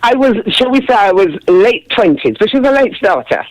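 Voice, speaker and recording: A woman jazz singer with a big, deep speaking voice, chatting warmly in an interview and laughing often. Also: big pitch swings, moderate pace, poor audio. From family from Dominica, West Indies. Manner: warm, effusive, laughs often. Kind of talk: casual interview chat.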